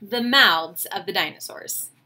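A woman, reading a picture book aloud to children with expressive, enthusiastic, chatty asides. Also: medium pitch, unhurried. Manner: expressive, enthusiastic, questioning the listeners. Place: small home room, indoors.

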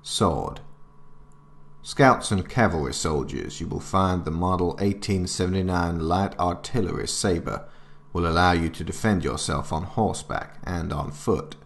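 A man's voice: low voice, gravelly. A man narrating a military handbook in a formal, grave, instructional manner, as scripted drama.

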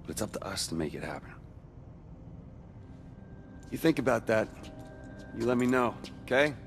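A wisecracking young American man, brash and sarcastic, speaks casually with some creaky fry. It is game cutscene dialogue with faint music underneath. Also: medium pitch, big pitch swings, normal speed. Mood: coaxing. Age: young adult. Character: brash, wisecracking young male rebel with superpowers.

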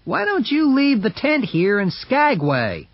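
Man putting on a wry old-hand onlooker, dry and advising, storybook reading, husky muffled sound. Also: extremely high pitch, huge pitch swings, a little slow, dry recording, pitched above the performer's own voice, heavily acted. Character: wry, seasoned northern onlooker men.

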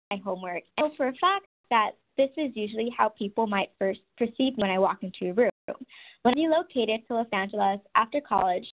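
Soft-spoken young woman, tinny audio. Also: a little high. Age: 20s. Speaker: webinar presenter. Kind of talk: scripted presentation.

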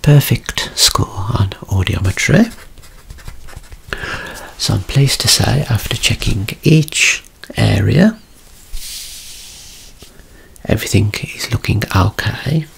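A man in his thirties doing a soft-spoken ASMR medical roleplay as a doctor, with a reassuring clinical bedside manner, close up in a small room. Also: on the low side, lively intonation, slow, vocal fry, long pauses, breathy edge. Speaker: ASMR creator acting as a doctor.

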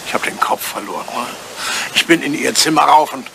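A man's voice: a little high, expressive, brisk, gravelly, heavy background noise. An older man acting a grumpy, self-centred old English lord in a German radio play, querulous and easily flustered. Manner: querulous, hesitant, blustering.

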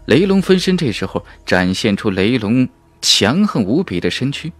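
A man's voice: fairly low pitch, no echo. A man narrating a fantasy novel in a dramatic, emphatic storytelling manner. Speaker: audiobook narrator. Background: background music.

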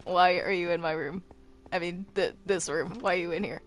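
Low-pitched woman improvising game roleplay. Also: normal speed, a little hiss or hum. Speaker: roleplay gamer. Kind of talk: improvised Minecraft roleplay chat.

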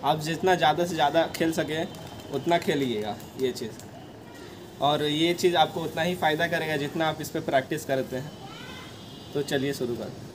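A man's voice: a little high, moderate pace. A young man, giving instructions to camera as host of a coaching video, recorded outdoors on a rooftop. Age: young adult. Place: outdoor rooftop terrace among brick buildings. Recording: clearly noisy outdoor ambience, traffic hum.

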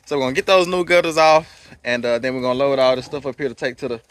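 A man's voice: mid-range voice, fast talker. A man, hosting a casual outdoor vlog in a rural yard, rambling easily with fillers into a phone held at arm's length. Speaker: vlog host. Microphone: phone camera at arm's length.

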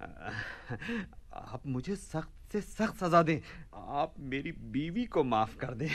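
Broke scheming husband, theatrical, very slow, animated pitch, radio drama. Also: male voice, full character voice. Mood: pleading, remorseful. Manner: theatrical, exclamatory. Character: wily, broke husband scheming with his wife. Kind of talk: radio play acting.

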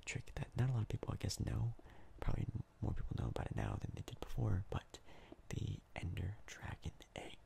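A young man whispers his way through casual gameplay commentary in an ASMR style. His voice is low and heavily crackled with fry, breathy and soft, and he rambles along hesitantly. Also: very animated, fairly quick, few pauses. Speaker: ASMR gaming YouTuber.

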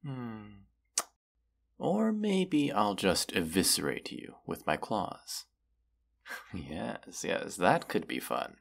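A man voicing a proud, menacing wounded dragon in a fantasy audio roleplay, speaking in a haughty, deliberate, archaic way. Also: lowish voice, sing-song, gravelly, heavily acted. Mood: sly, taunting.

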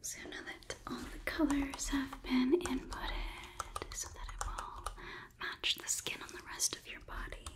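Whispering young woman, slow and gentle. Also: sits high. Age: young adult. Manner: soft, deliberate, instructive. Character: polite, clinical robot overlord examining a human. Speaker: ASMR roleplay performer. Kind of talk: whispered ASMR roleplay. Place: small indoor room set. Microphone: close microphone, just out of frame.

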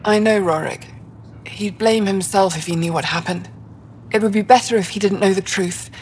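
A woman's voice: medium pitch, moderate pace, a little mellow, slight background noise, lightly acted. An actress playing a guarded, wounded female alien survivor in a video game, speaking with reserve and hesitation. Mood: softening, hesitant.

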